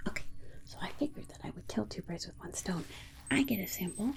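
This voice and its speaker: A woman playing a polite, condescending alien scientist in an ASMR roleplay, whispering in a soothing, coaxing manner with breathy delivery. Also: high-pitched, mellow. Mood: coaxing, reassuring. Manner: soothing, coaxing, hesitant asides. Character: alien scientist, polite, reassuring, breezily condescending toward humans.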